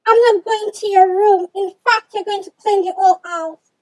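A young female performer voicing a bossy, two-faced babysitter in a children's game roleplay, with a deliberate, commanding manner. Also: extremely high pitch, steady tone, a little mellow, poor audio. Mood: mean, bossy. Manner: slow, insulting, commanding. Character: evil babysitter, sugary at first, bossy bully.

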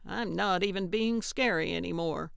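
A woman's voice: fairly low pitch, normal speed, creaky, clean tone, dry recording, studio quality. A woman voicing a brusque, cranky older woman in an audiobook, snappish and blunt but well-meaning. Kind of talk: audiobook character acting.

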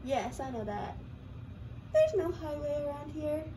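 A young woman voicing an unhelpful, deadpan local puppet in a scripted puppet show. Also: high voice, measured pace, poor audio. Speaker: student puppeteer. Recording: faint background noise.

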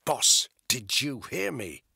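A man voicing a tough soldier who shouts calm, firm demands to talk someone down. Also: medium pitch, slow pace, gravelly, breathy edge, no echo, studio quality, voice pushed up, heavily acted. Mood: clipped urgent.

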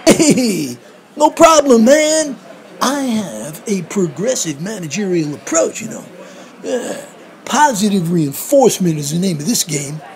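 An actor playing a goofy, boastful male fast-food manager in an audio play, talking in slacker slang. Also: high-pitched, very animated, exaggerated voice. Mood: upbeat, encouraging. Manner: bragging, laid-back slang.

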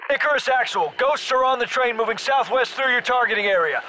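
An actor playing a gruff, commanding middle-aged special forces soldier in a military shooter game, giving clipped, urgent orders. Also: male voice, extremely high pitch, brisk, bright, forceful tone, slight background noise, low-quality recording.

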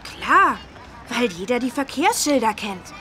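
Young cartoon schoolboy, high lively voice, eager, knowing, helpful, street noise behind. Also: female voice, measured pace, heavily acted. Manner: eager, explanatory. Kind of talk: children's learning game voice acting.

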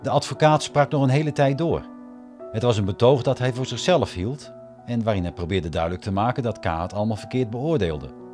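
A man's voice: mid-range voice, lively intonation, brisk, a little mellow, lightly acted. A man narrating a radio play from a script, as storyteller. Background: faint music.

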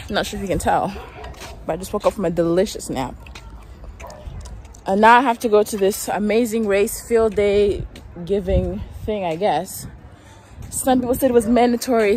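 A woman in her twenties chatting casually to her phone while walking outdoors, loose and a bit profane, full of fillers. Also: medium pitch. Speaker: vlogger. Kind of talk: casual vlog chat. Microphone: phone at arm's length. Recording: loud street noise.

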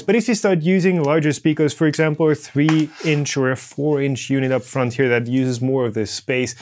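A man's voice: medium pitch, slight background noise. A man in his thirties presenting a hands-on maker tutorial in a casual, explanatory way. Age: 30s. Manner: informal explanatory, hands-on demonstrating. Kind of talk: casual instructional product walkthrough. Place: indoor home studio workshop. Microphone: lapel mic.

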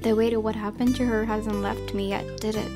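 A woman's voice: a little high, little pitch movement, fairly quick. A woman reading a visual novel's narration aloud as a let's play host. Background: guitar music from the game.

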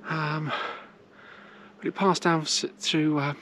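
A woman's voice: sits low, fairly even intonation, slow pace. A middle-aged woman giving walking-tour commentary at castle ruins, talking unhurriedly with many hesitations into a camera held at arm's length. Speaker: travel vlogger. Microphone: handheld camera at arm's length. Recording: outdoor noise in the background.

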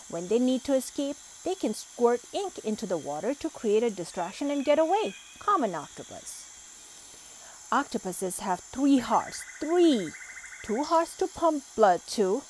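Expressive woman reading a picture book. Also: on the high side, unhurried, slight background noise. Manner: expressive, animated when reading exclamations. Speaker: storybook reader. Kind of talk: children's book read-aloud.

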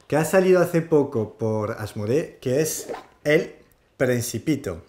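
Board game host, male, forties, mid-range voice, unhurried and halting delivery. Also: mid-range voice. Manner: halting, searching for words. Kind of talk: casual explainer to camera. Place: small indoor room with game shelves.

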